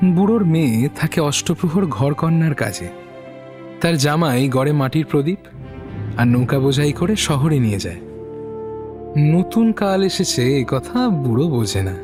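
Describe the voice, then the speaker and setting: A man's voice: fairly high pitch, lively intonation, a little slow, music in the background. A man narrating a literary short story as a scripted audio reading.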